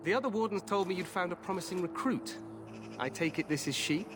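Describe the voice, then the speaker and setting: A man's voice: high voice, fairly quick, background noise, close to an everyday voice. An actor playing an overconfident, glory-hungry young king in a fantasy video game, with a hearty, breezy, regal manner. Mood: hearty delight. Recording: music behind the voice.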